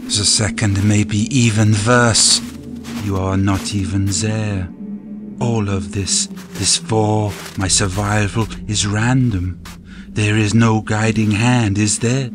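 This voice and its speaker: An actor playing a war-weary soldier in bitter, anguished prayer, a grave and deliberate audio drama monologue. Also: male voice, fairly low pitch, flat delivery. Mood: bleak, bitter. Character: despairing, war-weary male soldier praying bitterly for death. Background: music.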